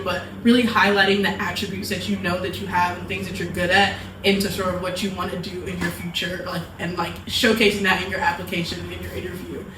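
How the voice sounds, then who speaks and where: A young woman from the United States, a college student speaking as a panel guest in casual chat, leaning on "like" as she talks. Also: medium pitch. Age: young adult. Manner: chatty, fills with "like" often. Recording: roomy, from a distance, faint noise.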